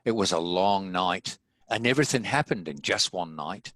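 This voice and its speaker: Male storyteller, lowish voice with a forceful bright edge, dry sound, scripted narration. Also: animated, moderate pace, dry recording.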